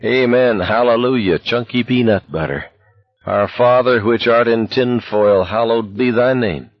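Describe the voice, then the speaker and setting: A man voicing a hysterical young male walker who declaims mock prayers in a jeering, excitable way, in an audiobook story. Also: fairly low pitch, normal speed, breathy edge. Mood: giddy, jeering. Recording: dull, echoey narration recording.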